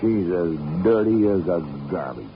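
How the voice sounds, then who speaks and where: A salty old sea captain. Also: male voice, sits low, expressive, unhurried. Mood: salty, gruff. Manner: nautical slang, calls others "Sonny". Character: gruff old male boat captain, salty sea talk. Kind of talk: 1940s radio acting. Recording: dull old radio recording with hiss.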